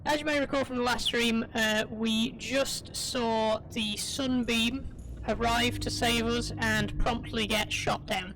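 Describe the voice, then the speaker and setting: A woman's voice: fairly high pitch, steady tone, slow pace, a bit bright. A woman streamer giving rambling, chatty commentary while playing, her voice a little crackly, often stopping to correct herself. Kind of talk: casual live gameplay commentary. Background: game music.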